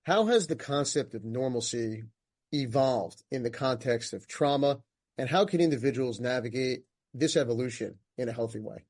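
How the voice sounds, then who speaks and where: Male podcast host, mid-pitched voice, energetic, quick bursts. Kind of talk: interview questions.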